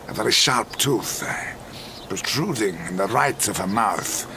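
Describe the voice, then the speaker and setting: An actor playing a sly, ingratiating travelling charm peddler with florid, deferential patter in an audio drama. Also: male voice, lowish voice, lively intonation, a little slow, background noise. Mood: sly, obsequious. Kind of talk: theatrical audio drama acting.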